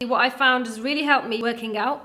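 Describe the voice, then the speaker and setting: A woman's voice: fairly high pitch, a little fast. A young woman from Wales, chatting casually through a question and answer vlog. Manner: chatty, animated, acts out voices in her stories. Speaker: vlogger. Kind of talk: casual Q&A chat.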